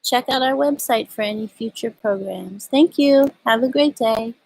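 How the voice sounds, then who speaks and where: A woman with a husky voice hosting a storytime for young children, speaking gently and in simple phrases as she reads and chats outdoors. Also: on the high side, normal speed, rough recording. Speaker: children's storytime host at a community farm. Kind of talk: storybook read-aloud and casual chat to children. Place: outdoors at a farm.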